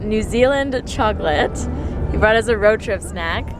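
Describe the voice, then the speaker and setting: A woman's voice: medium pitch, expressive, measured pace, over music. A young woman chatting and laughing as she films herself on a foggy hike, talking to friends off camera. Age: young adult. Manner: chatty, giggly, self-narrating. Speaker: vlogger. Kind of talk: casual travel vlog. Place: outdoors on a foggy mountain hike, later a pub and car. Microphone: handheld camera held at arm's length.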